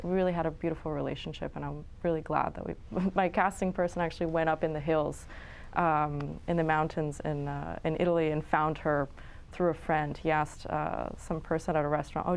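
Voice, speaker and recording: Chatty young woman filmmaker, low-ish voice. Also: a little fast, gravelly, a little hiss or hum. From New York City and Florence. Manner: relaxed, chatty, with frequent fillers and small laughs. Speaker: filmmaker guest. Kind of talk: casual interview answers. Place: studio.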